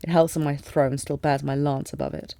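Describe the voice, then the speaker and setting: A woman playing a lovesick warrior queen, regal yet fragile, in an intimate fantasy audio drama with formal, emotive, halting delivery. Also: low-pitched, sing-song, gravelly, dark tone, no room sound, full character voice. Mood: stern, controlled. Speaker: audio roleplay performer.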